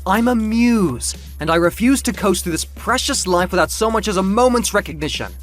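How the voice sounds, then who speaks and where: A man playing an impassioned, high-pitched, proud winged muse. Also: animated, moderate pace, faint music behind. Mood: indignant, defiant. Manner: theatrical, grandiose, declamatory. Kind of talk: audio comic book acting.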